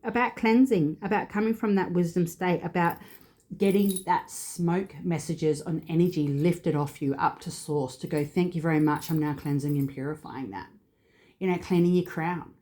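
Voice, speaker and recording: A woman with a low voice reads oracle cards in a loose, chatty way, drifting from one thought to the next. Her sinuses are blocked and her voice sounds stuffy and thick. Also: steady tone, moderate pace, warm tone. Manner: chatty, rambling, with frequent fillers. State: congested with sinus trouble. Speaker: tarot and oracle card reader. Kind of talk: casual spiritual card reading.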